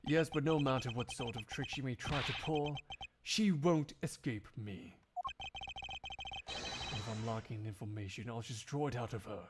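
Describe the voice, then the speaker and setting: A man playing a shrewd, composed young male prosecutor in a video game fandub, formal and deliberate. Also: mid-range voice, heavy background noise, pitched below the performer's own voice, natural voice. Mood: determined.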